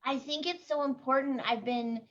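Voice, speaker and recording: A woman's voice: high voice, moderate pace, muffled recording. A woman host from Canada asking questions and responding in an affirming, thoughtful way. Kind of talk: casual interview.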